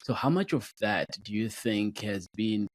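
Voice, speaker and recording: Low-voiced man from Zimbabwe hosting. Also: expressive, a little slow, no room sound. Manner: warm, frequent affirming backchannels and filler words. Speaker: podcast host. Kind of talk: conversational interview.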